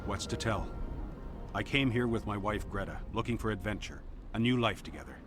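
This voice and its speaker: Actor as disgruntled, low-voiced colony hunter. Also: male voice, lively intonation, brisk, music in the background, lightly acted. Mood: wry, regretful. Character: disgruntled male colony hunter, plainspoken and wry. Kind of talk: naturalistic video game dialogue.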